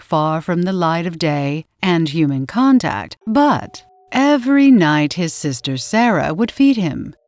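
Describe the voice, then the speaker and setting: A woman narrating in a dramatic, theatrical old-time radio horror style with deliberate delivery. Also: lowish voice, darkish tone. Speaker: story narrator and channel host. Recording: roomy echo, faint music behind.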